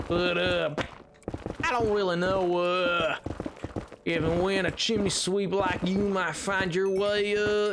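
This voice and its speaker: A man's voice: high-pitched, noisy background. Man putting on a drawling, folksy Southern cowboy. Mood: folksy, friendly. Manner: folksy, rambling, repeats himself. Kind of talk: improvised livestream banter. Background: music.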